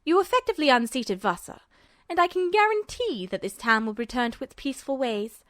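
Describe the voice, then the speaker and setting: A woman playing a calm, polite, calculating male army officer with quiet menace in a story reading. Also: high voice, brisk, dry recording, clean studio sound. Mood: cool menace.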